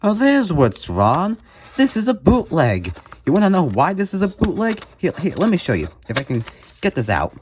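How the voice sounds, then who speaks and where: A man's voice: high-pitched, wildly swooping pitch, normal speed, a little mellow, a little hiss or hum. A man hosting a music collection video, talking casually through his items in a playful, jokey way with mock emphasis. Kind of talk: casual show-and-tell vlog commentary.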